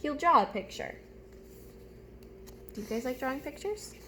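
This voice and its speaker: A woman's voice: high voice, lively intonation, moderate pace, noisy background. A woman teacher reading a storybook aloud to young children, chatting to them directly with simple questions and step-by-step prompts.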